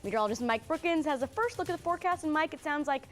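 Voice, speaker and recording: A woman's voice: high-pitched, expressive, very fast, a bit bright, faint music behind. A woman with an American accent reading scripted news as an anchor.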